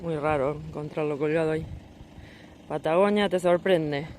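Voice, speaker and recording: A woman's voice: deep voice, fairly even intonation, normal speed, a little mellow. A woman with an Argentine accent, a travel vlogger chatting and narrating casually. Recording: noisy outdoor and vehicle sound.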